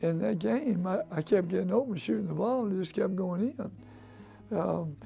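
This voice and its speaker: A man's voice: high-pitched, moderate pace, gravelly, warm tone, faint music behind. A man in his eighties from the southern United States, casually reminiscing as an interviewee. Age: 80s. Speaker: interviewee, former college basketball player.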